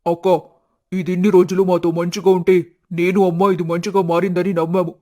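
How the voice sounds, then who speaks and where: Earnest young husband in a cartoon, a guy voicing him, mellow high-ish voice, pretty flat. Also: a little fast, lightly acted. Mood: indignant. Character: earnest young man, devoted to his mother.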